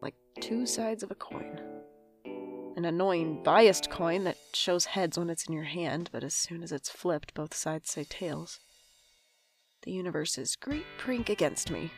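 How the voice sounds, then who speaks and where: A woman's voice: fairly low pitch, animated, measured pace, soft background music. A woman acting as a sardonic, stubborn older sibling in a naturalistic audio drama, with a dry, sarcastic delivery. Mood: wry, reflective. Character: sardonic, guarded older sibling, stubborn and bitter about parents.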